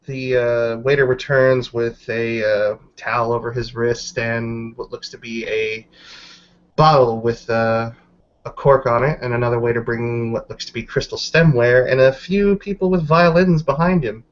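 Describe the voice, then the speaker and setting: Man, mid-pitched, game master narration, improvised, hesitant pauses, slightly muffled audio. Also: steady tone. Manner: halting, unhurried.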